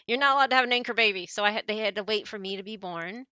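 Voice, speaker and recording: Bright-voiced, animated woman chatting on stream. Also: mid-range voice, fast, clear, resonant tone, no room sound, lo-fi sound. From born in Malaysia, family brought to the US. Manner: animated, giggly, chatty, playful. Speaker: livestream host. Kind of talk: casual chat to livestream viewers while gaming.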